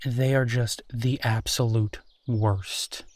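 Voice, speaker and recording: A man playing a candid, awkward werewolf in an intimate audio roleplay, talking in a halting, conversational way with sighs. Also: mid-range voice, steady tone, darkish tone, no room sound, lightly acted. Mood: disgusted, exasperated. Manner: halting, hesitant, sighing, conversational. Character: easygoing young male werewolf, awkward, candid, bitter about rival.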